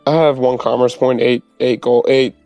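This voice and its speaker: A man's voice: medium pitch, steady tone, normal speed, warm tone, faint music behind. A man playing in a tabletop roleplaying game, chatting casually and questioning the rules as he haggles.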